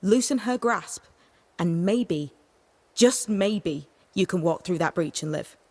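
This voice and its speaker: Hardened survivor guide for a game: slightly low, terse, firm, wary. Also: female voice, animated, slow, darkish tone, full character voice. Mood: stern warning. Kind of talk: dramatic video game acting.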